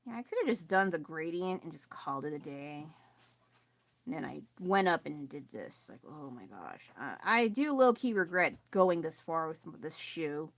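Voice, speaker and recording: A woman chatting casually while painting on a live stream at home, self-deprecating and quick to laugh, with a loud voice. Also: low-pitched, lively intonation, dry recording. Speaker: artist livestreaming her painting. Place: at home with family nearby.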